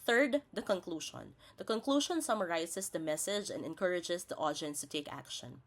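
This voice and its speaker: Woman, slightly low pitch, highly varied intonation, scripted lesson narration, didactic teacher delivery. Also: animated, normal speed.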